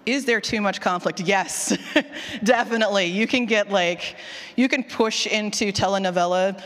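A woman giving a casual, candid talk as a presenter, animated and self-deprecating, often acting out her own thoughts. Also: medium pitch, normal speed. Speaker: presenter, fiction podcast writer. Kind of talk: casual conference talk with audience questions. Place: indoor session room with long reverb. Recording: reverberant hall.

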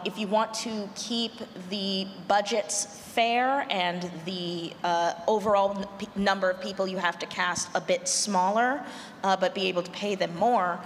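A woman on a panel giving practical advice in a halting, considered way, recorded in an echoey hall. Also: on the low side. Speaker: panelist, audio drama producer. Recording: echoey noisy hall with room ambience.

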